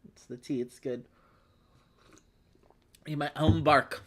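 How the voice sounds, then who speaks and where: A woman's voice: low voice, steady tone, slow pace, slightly rough. A woman hosting a board game livestream, chatting casually with playful, wry asides and sounding slightly phlegmy. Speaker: host of a board game play-along livestream. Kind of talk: casual chat with read-aloud game text.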